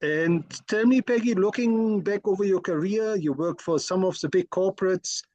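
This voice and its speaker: A man's voice: sits high, normal speed, a little mellow, dry recording. A man hosting an interview, asking questions in a polite, friendly way. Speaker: interview host.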